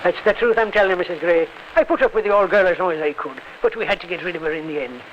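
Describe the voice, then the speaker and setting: An actor playing a wheedling, blustering Irish scoundrel with an effusive, flowery manner and an Irish accent, in 1950s radio crime drama style. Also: male voice, sits high, racing, fairly bright tone. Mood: blustering, evasive. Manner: effusive, flowery, theatrical blarney. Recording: muffled old radio recording with faint noise.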